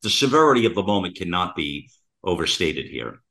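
An older American man with a low-pitched voice speaks as a talk radio host. His commentary is conversational and emphatic, with phrases repeated to add weight. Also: very animated, a little fast, a bit bright.

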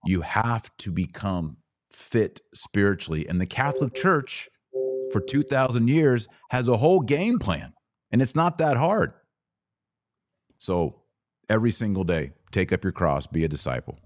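A very low-voiced man hosts a podcast and urges his listeners on. He runs through question after question. The sound is close and dry. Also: lively intonation, measured pace, no echo. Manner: exhortative, lists questions one after another. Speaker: podcast host. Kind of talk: podcast conversation.

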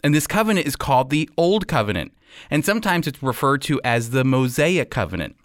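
Lively American man teaching on the radio. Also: on the high side, no echo, studio quality. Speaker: radio program host and Bible teacher. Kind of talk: Bible teaching and scripted radio commentary.

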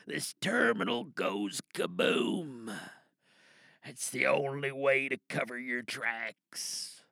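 A man playing a dying, bitter rebel in an audio drama, with a gravelly voice and weary, halting, pained delivery. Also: mid-range voice, pushed and bright, dry recording, studio quality, exaggerated voice. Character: dying, bitter, angry cyberpunk rebel recording a last message.

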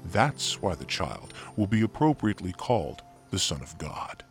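An actor playing an angel messenger in a Bible drama, solemn, measured and reassuring. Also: male voice, low-pitched, big pitch swings, soft background music. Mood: solemn, assured.

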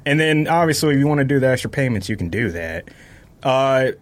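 A man's voice: mid-range voice, lively intonation, fairly quick. A man in a casual podcast chat, explaining things informally step by step. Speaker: podcast guest talking about sneakers.

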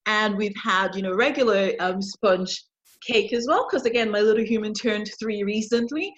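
A woman's voice: medium pitch, normal speed. A woman hosting a casual interview podcast in a chatty, effusive way, on a somewhat lo-fi recording. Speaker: podcast host. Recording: dull, lo-fi sound.

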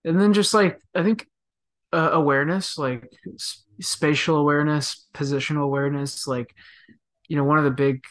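A man in his thirties, a professional midfielder, talking softly and thoughtfully in a casual interview, with many fillers and restarts. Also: a little high, steady tone, normal speed.

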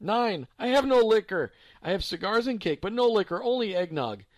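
A woman's voice: medium pitch, expressive, moderate pace, a little mellow, dry recording, voice pushed up, close to an everyday voice. A man voicing a frail, confused elderly woman with a German accent, doting and mishearing words. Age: older.

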